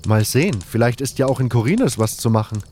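A man playing a smitten, awkward young adventurer who speaks haltingly in a scripted fantasy audio drama. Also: medium pitch, animated, darkish tone, a little hiss or hum, lightly acted. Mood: musing. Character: nameless male adventurer, smitten, awkward, self-deprecating hero. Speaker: narrator and lead actor of a fan audio drama. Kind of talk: first-person scripted narration and dialogue.

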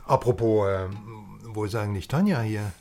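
An actor playing a brash, cynical, nosy journalist in a radio crime drama, colloquial and theatrical, swinging between muttering and outbursts. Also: male voice, fairly low pitch, normal speed, vocal fry, a little mellow. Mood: teasing, curious.

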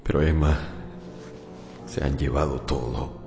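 A man's voice: rumbling low, steady tone, unhurried, creaky, exaggerated voice. An actor playing a distraught, bewildered husband and country doctor in a radio drama, pleading with his wife. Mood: dismayed. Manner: stammering, pleading. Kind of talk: Spanish-language radio drama acting.

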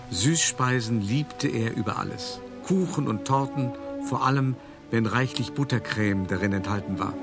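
A man plays an older art dealer looking back on his life in a radio play. He is wry and a little self-important, speaking articulately and theatrically with pointed pauses. His pitch is middling for a man, and music plays clearly behind him. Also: close to an everyday voice. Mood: animated recollection. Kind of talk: theatrical first-person monologue, storytelling.

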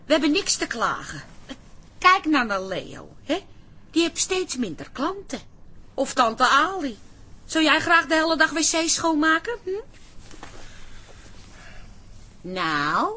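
A woman playing a bossy but caring café wife in a Dutch radio comedy, speaking in a brisk, cajoling, expressive way. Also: high-pitched, full character voice. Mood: coaxing, firm.

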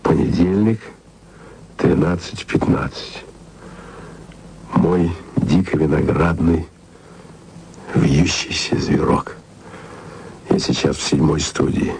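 A very deep, gravelly lovesick radio man. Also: darkish tone, slight background noise, full character voice, rough recording. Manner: tender, confiding, half-whispered. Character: aging émigré radio man, lovesick and self-doubting. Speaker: radio broadcaster leaving taped messages. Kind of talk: intimate radio play monologue.

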